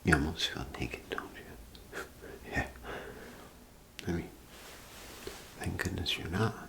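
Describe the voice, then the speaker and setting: A man doing an ASMR role play as a clothing salesman, with gentle, hesitant, unhurried patter. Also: low-pitched, gravelly.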